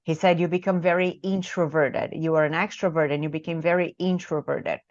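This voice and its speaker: Brazilian-accented woman, therapist guest, lowish voice, dull dry call audio; reflective, conversational. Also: steady tone, brisk, dry recording. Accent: Brazilian Portuguese. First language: Portuguese. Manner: reflective, tag questions like "right?". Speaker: marriage and family therapist, guest author. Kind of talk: casual interview talk.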